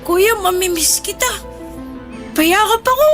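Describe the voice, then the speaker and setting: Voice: very high-pitched, a little slow, a bit bright. A performer acting a clingy, sad young boy in a Filipino radio drama, plaintive and childlike. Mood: sad, clinging. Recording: music bed behind the voice.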